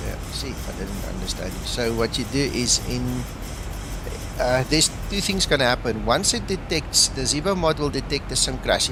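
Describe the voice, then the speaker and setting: A man's voice: medium pitch, noisy background. A man who speaks English as a second language and casually explains his flight simulator setup step by step while answering live chat. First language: not English. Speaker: flight sim streamer.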